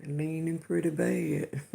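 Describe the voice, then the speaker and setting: An older woman talks slowly and warmly to her livestream viewers, answering their comments from home in the evening. Her voice is heavily gravelly and mellow, with a distinctive sound of its own. She chuckles easily and keeps a relaxed, affectionate manner. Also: deep voice. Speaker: livestream host greeting viewers. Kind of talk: casual chat answering comments.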